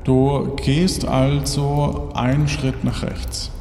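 Slow-paced male game master, roomy echo. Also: medium pitch, little pitch movement, darkish tone. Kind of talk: improvised narration of a tabletop game. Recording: echoey reverberant room with audible noise.

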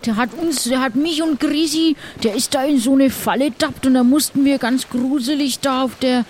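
A woman's voice: high voice, moderate pace, darkish tone, close to an everyday voice. A woman playing a chatty, disgruntled pirate crew member, rambling and colloquial in an improvised role-play. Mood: agitated, puzzled. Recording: clearly noisy background.

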